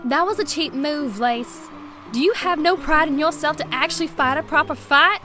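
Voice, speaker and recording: A high-pitched, proud female fighter, acted by a woman in a visual novel. She is sarcastic and challenging, with music behind her. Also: normal speed, bright, forceful tone. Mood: indignant. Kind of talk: animated visual novel acting.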